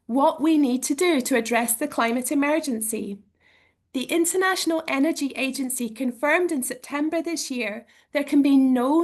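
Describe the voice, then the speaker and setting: A woman speaks over a video call with a croaky edge to her voice. She sits on the high side for a woman. Her prepared campaign talk comes across earnest and steady. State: croaky voice from illness. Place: at home, joining a meeting online.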